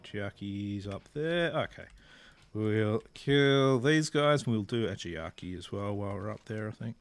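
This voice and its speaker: A man giving relaxed, rambling, self-deprecating commentary while he plays a game. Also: fairly low pitch, unhurried, no echo. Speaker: gameplay streamer. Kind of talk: casual gameplay commentary.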